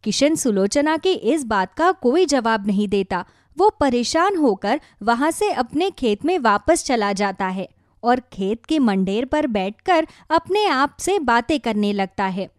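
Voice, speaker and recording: A woman's voice: on the high side, big pitch swings, measured pace, dry recording, clean studio sound. A woman narrating a scripted moral story for children.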